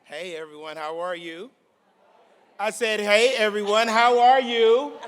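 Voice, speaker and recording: A man's voice: high-pitched, fairly even intonation, measured pace, a bit bright. A man emceeing a gala, upbeat and rallying the crowd on a handheld microphone. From transplant to Tulsa. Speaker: master of ceremonies. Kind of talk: live emcee banter at a gala. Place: large hall at a gala event. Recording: reverberant hall, faint clicks.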